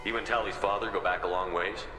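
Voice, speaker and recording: A very low-voiced, level-headed military hero acted by a man in a video game. He talks briskly, calm and direct, with echo around the voice and music behind. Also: natural voice. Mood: calm, inquiring. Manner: direct, diplomatic. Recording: echoey hall, music behind.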